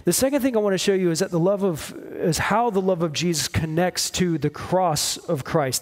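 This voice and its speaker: A man in his forties preaching a sermon, explaining in measured short phrases with pauses. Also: high voice, darkish tone. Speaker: pastor preaching. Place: church stage at a lectern, indoors.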